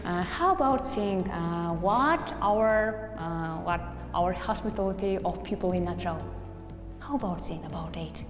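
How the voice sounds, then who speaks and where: A woman interviewing a guest for TV, asking questions in halting English with hesitations. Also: fairly low pitch. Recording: echoey room with long reverb, music.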